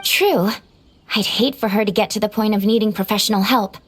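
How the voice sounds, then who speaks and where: A woman voicing a composed young female healer in a video game, speaking in a measured, clinical way. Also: mid-range voice, close to an everyday voice. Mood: calm, concerned. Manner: measured, matter-of-fact, explanatory.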